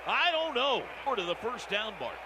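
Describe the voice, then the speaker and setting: A man's voice: high voice, sing-song, a little fast. An American man calling football live on radio as play-by-play announcer, in clipped phrases that turn urgent on big plays. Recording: roaring stadium crowd and heavy noise. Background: loud stadium crowd.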